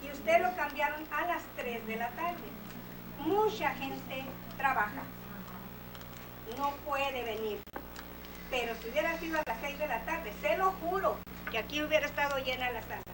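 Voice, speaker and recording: A woman's voice: sits high, a little fast. A woman speaking during public comment at a council meeting, insistent and impassioned, slipping in English words. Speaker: resident addressing the city council. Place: council chamber. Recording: echoey, distant, noisy room recording.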